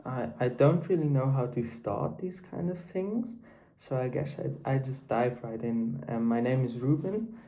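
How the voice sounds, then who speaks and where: A man's voice: mid-range voice, measured pace, dark tone, low-quality recording. Hesitant Swiss German teen boy. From Switzerland. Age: teens, 16. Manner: hesitant, with many restarts and repeated words. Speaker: book vlogger. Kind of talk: casual unscripted book review.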